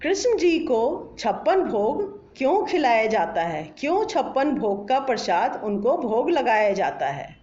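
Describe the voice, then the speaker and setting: A woman's voice: fairly high pitch, sing-song, measured pace, hardly any pauses, darkish tone. A woman telling a bedtime story to children in an instructive, questioning manner. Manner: instructive, asks listeners questions. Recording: echoey room, slightly dull sound.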